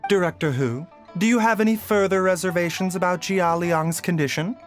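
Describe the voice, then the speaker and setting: An actor voicing a calm, courteous doctor who speaks in a polite, measured way and guards his secrets. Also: sits high, faint music behind, close to an everyday voice.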